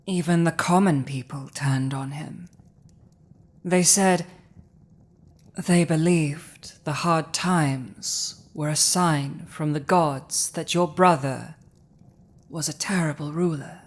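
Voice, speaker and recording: A woman voicing a commanding villainous female dragon in a dramatic audio roleplay, speaking deliberately with weighty pauses. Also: low-pitched. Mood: somber, quiet. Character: villainous commanding female dragon, intense yet softening to compassion.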